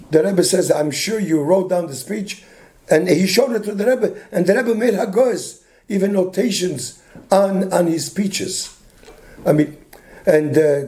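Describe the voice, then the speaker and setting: A hoarse older man gives a loose, story-filled rabbinic talk. His voice sits high for a man yet sounds dark and mellow. He often stops and restarts his sentences. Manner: meandering, many restarts, mixes Yiddish and Hebrew terms. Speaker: rabbi giving a weekly talk. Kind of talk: informal Torah talk with stories.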